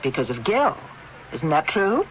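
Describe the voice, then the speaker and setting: A woman's voice: low-pitched, big pitch swings, measured pace. A woman acting in a 1970s radio drama as a defensive, indignant widow with an accusing, wounded manner. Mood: bitter, wounded. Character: defensive, indignant widow, a younger former model. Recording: muffled, hissy 1970s radio broadcast.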